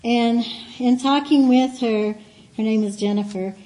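Woman guest speaker, light mellow voice, chatty storytelling church teaching. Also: on the high side, fairly even intonation, unhurried, rough recording. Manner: warm, chatty storytelling with soft laughs and asides. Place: meeting room with a board.

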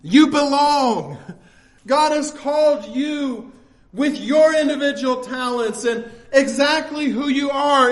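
A man with an American accent preaching an impassioned church sermon in an emphatic, exhortative, folksy way. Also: extremely high pitch.

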